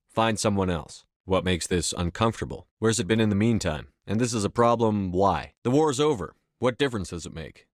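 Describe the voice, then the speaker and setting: A man acting in a video game as a commanding, resolute space soldier, speaking firmly with measured authority. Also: lowish voice, no room sound, studio quality, natural voice. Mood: businesslike, questioning. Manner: firm, authoritative, measured delivery. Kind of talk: scripted game dialogue acting.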